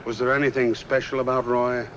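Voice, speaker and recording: A man's voice: medium pitch, little pitch movement, fairly quick, highs cut off, lightly acted. An American man playing a shrewd, commanding, slangy private detective in a 1950s film noir, on a noisy old soundtrack. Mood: wry, probing. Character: portly, shrewd, unflappable private detective boss. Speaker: film actor.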